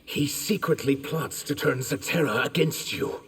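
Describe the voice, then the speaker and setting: A man's voice: fairly high pitch, unhurried, background noise, close to an everyday voice. A man playing a grave, loyal servant of the crown in a fantasy video game, speaking formally and with deference. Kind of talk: video game dramatic acting. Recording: long reverb, prominent music behind.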